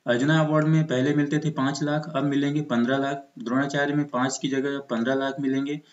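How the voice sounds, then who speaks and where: A man's voice: mid-range voice, flat delivery, quick pace. An Indian man teaching exam preparation in an explanatory lecture style, mixing in English terms. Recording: muffled, echoey room recording.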